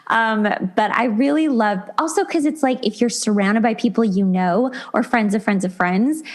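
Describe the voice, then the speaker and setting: A woman's voice: on the high side, moderate pace. A middle-aged woman hosting a podcast, talking casually and conversationally with an effusive, enthusiastic manner. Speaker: podcast host.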